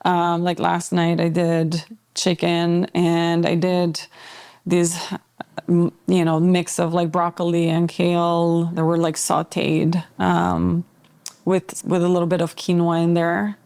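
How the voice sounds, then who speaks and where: Low gravelly flat-toned woman from Albania. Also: slow. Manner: relaxed, rambling with frequent filler words. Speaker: podcast guest, fitness trainer. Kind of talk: casual conversational interview.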